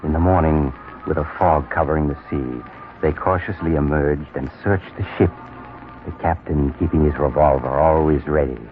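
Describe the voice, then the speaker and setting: An actor playing a sinister, cold-blooded doctor who narrates horror tales in an ominous, deliberate 1940s radio style. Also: male voice, rumbling low, fairly even intonation, faint music behind. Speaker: horror show host. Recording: tinny vintage radio, narrow band.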